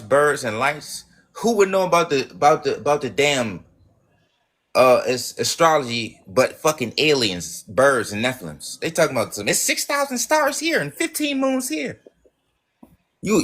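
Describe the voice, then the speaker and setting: A man's voice: on the high side, sing-song, moderate pace, a bit bright. A man hosting a livestream who talks in a rambling, preachy way, swearing often and restarting his sentences. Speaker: livestream host.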